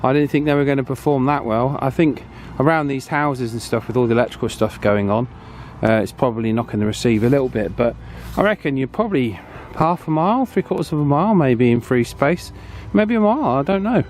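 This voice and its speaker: A man's voice: mid-range voice, a little fast, darkish tone. Chatty man over background noise. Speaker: host of radio repair channel. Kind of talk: casual hands-on demonstration chat.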